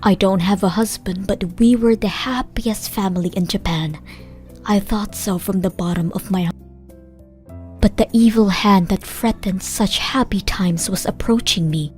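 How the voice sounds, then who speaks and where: A woman's voice: medium pitch, unhurried, darkish tone. A woman giving scripted first-person narration of a story as a voice actor. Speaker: narrator and voice actor in a manga dub. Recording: music bed under the voice. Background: background music.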